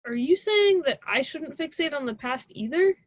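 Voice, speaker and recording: A woman playing a stubborn, cocky college student in an amateur radio drama, flippant and husky, on a dry, dull recording. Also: sits high, expressive, normal speed, no room sound. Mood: skeptical. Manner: flippant, casual, argumentative.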